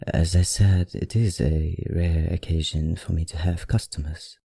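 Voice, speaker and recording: A man playing a courteous, teasing, ancient vampire librarian in an ASMR roleplay, speaking genteelly with a put-on accent in a low, husky voice. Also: fairly even intonation, measured pace, dry recording, heavily acted. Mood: polite, helpful. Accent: put-on, not his own. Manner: genteel, old-fashioned, softly teasing. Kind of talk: ASMR roleplay, addressing the listener.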